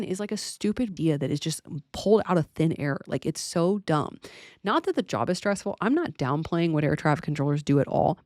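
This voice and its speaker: A woman's voice: on the low side, darkish tone, dry recording, clean studio sound. An American woman giving casual opinion commentary to viewers as a vlogger, blunt and emphatic, speaking in a colloquial way. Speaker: aviation vlogger and pilot.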